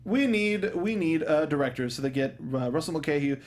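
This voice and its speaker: Slightly high-voiced man hosting a casual review. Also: lively intonation, fairly quick. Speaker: panel show host. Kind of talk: casual film review discussion.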